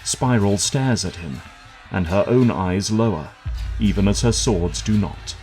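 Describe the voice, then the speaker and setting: A man delivers scripted dramatic narration as the narrator of an audio drama. His voice sits on the low side, with music clearly playing behind it. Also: a little slow, a little mellow, poor audio.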